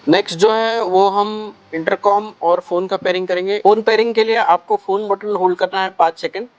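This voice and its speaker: A man with a fairly high-pitched voice walks through a product step by step. He explains casually, slipping into English phrases as he goes. Faint music sits behind his voice. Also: fairly even intonation, brisk, no room sound, muffled recording. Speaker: product reviewer and shop presenter.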